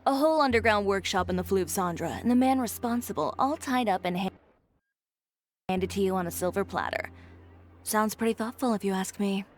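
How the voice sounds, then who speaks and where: A woman's voice: mid-range voice, moderate pace, a little hiss or hum, close to an everyday voice. A woman playing a poised young fashion designer in a video game, speaking in a composed, dry, businesslike way. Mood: dry, teasing. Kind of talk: scripted video game voice acting.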